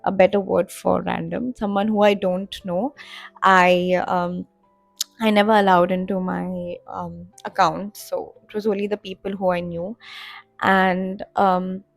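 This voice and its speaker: A young woman in her twenties chats straight to camera, rambling through her story with plenty of fillers and restarts. Her voice has a gravelly, fry-tinged edge, and the sound is dry and close. Soft music plays faintly behind her. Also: on the low side, flat delivery, unhurried, no room sound. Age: 20s. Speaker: content creator. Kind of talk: casual vlog talk to camera. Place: home in Dubai.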